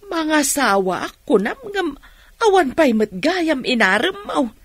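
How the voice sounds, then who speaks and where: A woman playing a caring, worried mother of a grown son in an Ilocano radio drama, with a husky voice. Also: high voice, sing-song, slow pace, no room sound, clean studio sound, exaggerated voice. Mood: teasing, probing.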